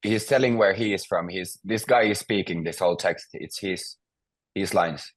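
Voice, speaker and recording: A man's voice: low voice, normal speed. A man teaching a beginner language class, patiently prompting students and explaining grammar step by step.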